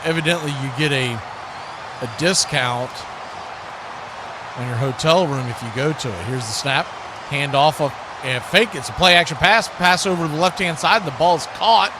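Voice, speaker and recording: A man's voice: on the high side, moderate pace, noisy background. An American man giving live football play-by-play with casual, folksy, conversational asides on a livestream. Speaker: play-by-play sports commentator.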